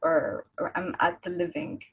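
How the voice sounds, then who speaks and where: A woman's voice: normal speed, phone-quality sound. A woman, an Arabic speaker, chatting casually as a guest artist on a video call. She rambles and searches for words, laughing easily. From Egypt.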